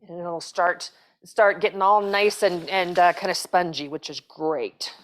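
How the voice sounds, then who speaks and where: A woman's voice: fairly low pitch, steady tone, measured pace, fairly bright tone. Chatty woman chef with restarts. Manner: chatty, rambling, lots of fillers and restarts. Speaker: cooking show host, chef. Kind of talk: casual cooking demonstration. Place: home kitchen, indoors. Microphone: lapel mic.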